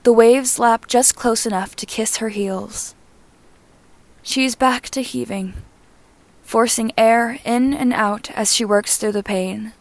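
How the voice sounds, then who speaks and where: Slow, higher-voiced woman narrating fiction. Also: fairly even intonation, no room sound. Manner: measured, deliberate, short phrases. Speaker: amateur audiobook narrator. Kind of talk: scripted fan fiction narration.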